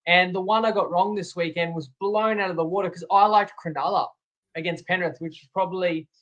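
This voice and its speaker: Man, Australian accent, high voice, reflective tipster, hesitant fillers. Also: fairly even intonation, brisk, fairly bright tone, muffled recording. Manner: reflective, self-critical, some hesitations. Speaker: co-host and tipster. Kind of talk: casual sports betting podcast chat.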